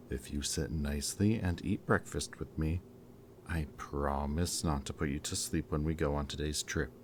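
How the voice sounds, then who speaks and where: Man playing a very deep-voiced, weary villain. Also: slight background noise. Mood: mocking, coaxing. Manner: theatrically polite, slow and deliberate, sighing. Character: brooding male villain kidnapper, mockingly polite, weary and haunted. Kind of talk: ASMR-style audio roleplay acting.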